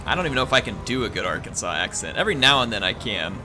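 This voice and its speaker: A man's voice: medium pitch, sing-song, very fast, over music. A man hosting a gaming channel, giving playful, jokey live commentary on a battle and chatting with his viewers.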